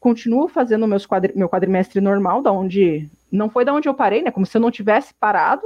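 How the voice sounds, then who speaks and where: A woman's voice: mid-range voice, animated, fairly quick, no echo. Young Brazilian woman, rambling answers. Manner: rambling, many restarts and fillers. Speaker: interviewee, exchange student. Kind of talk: casual interview answer. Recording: dull muffled sound.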